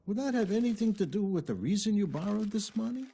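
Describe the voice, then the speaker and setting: An actor playing a smug, sly loan shark in a video game cutscene, talking slowly and mockingly. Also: male voice, animated, vocal fry, warm tone, slightly rough, full character voice. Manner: slow, mocking, theatrical, with swooping pitch.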